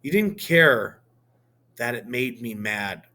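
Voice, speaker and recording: A man reading a novel aloud, voicing a middle-aged mother who speaks in a quiet, measured, reproachful tone. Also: medium pitch, expressive.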